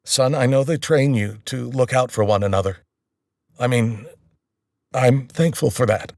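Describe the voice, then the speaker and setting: A man voicing a loud, hearty, affectionate father talking on the phone. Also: mid-range voice, unhurried, no echo, studio quality, natural voice. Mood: earnest.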